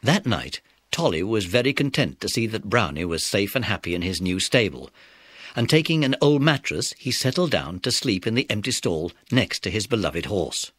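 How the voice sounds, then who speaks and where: A man's voice: on the low side, very animated, normal speed, a bit bright, slightly rough, no echo. A man with a British accent narrating a scripted children's story.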